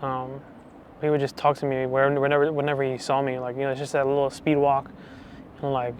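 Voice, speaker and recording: Young man, 20s, testimony speaker; soft, hesitant, monotone delivery over faint noise. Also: medium pitch, quick pace. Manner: soft, hesitant, full of restarts and fillers. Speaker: channel host giving testimony. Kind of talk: personal faith testimony.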